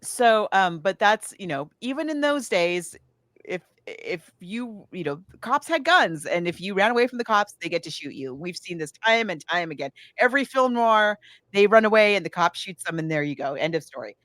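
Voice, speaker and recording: A woman's voice: mid-range voice, big pitch swings, normal speed, a bit bright, dry recording. An American woman co-hosting a podcast, chatting casually as she retells a film and slips into acting out lines. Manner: chatty, acts out quoted lines. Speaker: podcast co-host.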